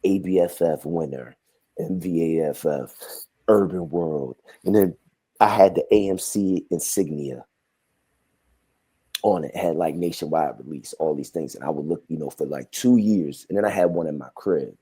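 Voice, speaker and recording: Low, husky-voiced man, relaxed. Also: moderate pace. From Brooklyn, New York. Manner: relaxed, reflective, many "man" and "like". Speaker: film director guest. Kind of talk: casual interview answers. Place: his mother's house.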